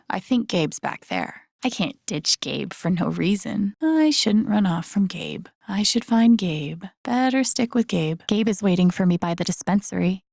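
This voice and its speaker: A voice actress playing a wry, warm-hearted young woman who mutters her thoughts to herself in a naturalistic, understated video game style. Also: mid-range voice, creaky, dry recording. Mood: musing. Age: young adult. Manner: dry, understated, self-talking wit.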